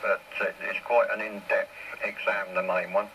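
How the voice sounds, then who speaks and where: A man with a low, gravelly voice talks casually over a two-way radio with faint noise on the signal. His intonation stays fairly even as he explains things step by step. He is plain-spoken and unhurried in how he lays things out. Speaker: amateur radio operator. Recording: noisy two-way CB radio transmission.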